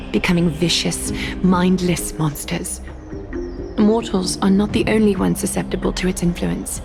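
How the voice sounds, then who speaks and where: An actress playing a composed, solemn woman leader in a video game, speaking in a formal, stately, measured manner. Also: fairly low pitch, creaky. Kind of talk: video game story dialogue.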